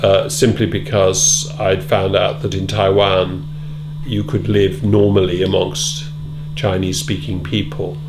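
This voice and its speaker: A middle-aged man being interviewed in a wood-panelled room, answering in a measured, thoughtful way with many ums and repeated words. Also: deep voice, level tone. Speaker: interviewee, former British Council officer. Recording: loud hum behind.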